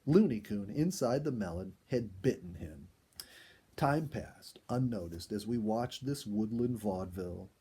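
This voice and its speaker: A man reading a children's story aloud as an expressive storyteller in scripted narration. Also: fairly low pitch, unhurried, breathy edge.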